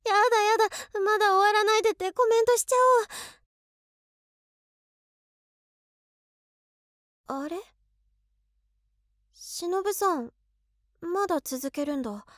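An actress playing an overworked, excitable office woman in her twenties who is a devoted fan, musing aloud expressively in a voice drama. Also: very high voice, normal speed, long pauses, no room sound, studio quality. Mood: panicked. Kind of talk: Japanese situation voice drama monologue.

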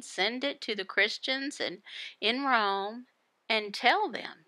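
A woman voicing a Bible devotional, explaining it phrase by phrase in a deliberate manner with pauses. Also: on the high side, pushed and bright, dry recording. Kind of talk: Bible teaching, voiced devotional.

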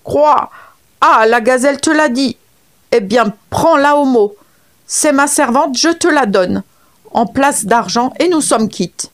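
A woman voicing a sly female frog who croaks and wails to dodge her debts. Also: on the high side, takes it slowly, voice pushed up. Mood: indignant. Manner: croaking, mournful pleading. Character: wailing female frog debtor, sly and evasive.

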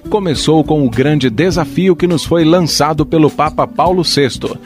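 A man's voice: a little high, moderate pace. A man with a Brazilian accent reading a scripted documentary narration in a formal manner. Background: music.

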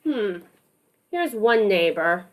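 A woman who teaches music, reading a picture book aloud to young children on a video call, expressive and affectionate, often putting questions to them. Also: mid-range voice, takes it slowly. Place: online class over video call.

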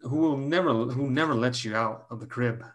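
A man's voice: medium pitch, normal speed, close to an everyday voice. A man playing an insinuating, flirtatious suitor, coaxing and needling in stage dialogue. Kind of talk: dramatic dialogue in a play reading. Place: indoors at home on a video call.

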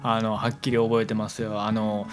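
A young man with a Kansai accent co-hosting a radio show with casual, improvised banter and animated storytelling. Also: fairly low pitch, moderate pace, faint music behind. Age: young adult. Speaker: internet radio co-host. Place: small indoor studio room. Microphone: stand microphone with headphones.

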